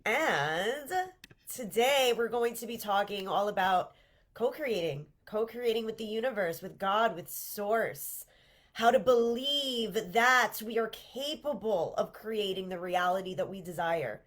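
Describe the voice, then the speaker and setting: A woman's voice: medium pitch, expressive, unhurried. A congested woman with a cold, leading a live-streamed manifestation workshop in an earnest, encouraging way and often restarting her sentences. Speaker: manifestation coach hosting a livestream workshop. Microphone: livestream camera mic.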